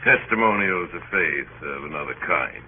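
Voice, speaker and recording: A man's voice: sits low, expressive, moderate pace, phone-quality sound. An actor playing an imposing, enigmatic clergyman in an old-time radio drama, with a pompous, oratorical and insistent manner. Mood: smooth, solemn. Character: imposing, grandiloquent clergyman passenger, commanding and enigmatic. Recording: band-limited, noisy old radio recording.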